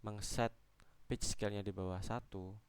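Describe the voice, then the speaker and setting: A young adult man giving an explanatory student presentation, halting and going step by step. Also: fairly low pitch, steady tone, darkish tone, clear, resonant tone, dry recording.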